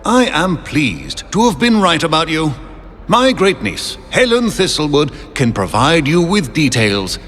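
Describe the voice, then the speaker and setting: Elderly pompous British portrait, nostalgic, courtly, highish animated delivery, game character, music underneath. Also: male voice, unhurried, exaggerated voice. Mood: grand, courteous. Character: pompous, nostalgic old male portrait of a former minister. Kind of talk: video game character acting. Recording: long reverberant hall, music underneath.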